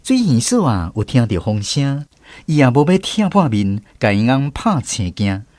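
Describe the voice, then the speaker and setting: A man narrating a radio drama in a measured storytelling way, recalling childhood memories. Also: mid-range voice, very animated, a little mellow, no echo.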